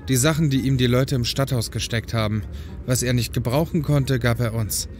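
A man's voice: medium pitch, fairly even intonation, normal speed, darkish tone, natural voice. Man acting casual, curious young brother, music behind. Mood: earnest. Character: admiring, grateful younger brother of the policewoman. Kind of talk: German audio drama acting.

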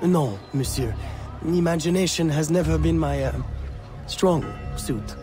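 An actor playing a timid, deferential young colonial clerk in a video game, hesitant and stammering. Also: male voice, on the high side, unhurried, lightly acted. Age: young adult. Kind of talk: video game cutscene acting. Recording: music behind the voice.

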